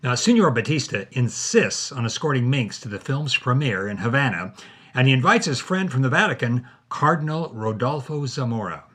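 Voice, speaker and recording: A man co-narrates a story as host. His voice is mid-pitched and husky, and his delivery is conversational though scripted. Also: sing-song, normal speed, a bit bright.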